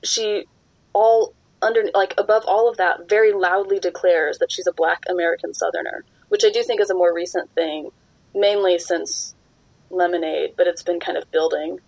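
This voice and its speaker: A young woman hosting a podcast, chatty and quick to laugh as she steers the talk back on topic. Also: fairly low pitch, fairly even intonation, creaky, darkish tone, no echo, low-quality recording. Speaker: podcast host. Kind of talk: casual chat.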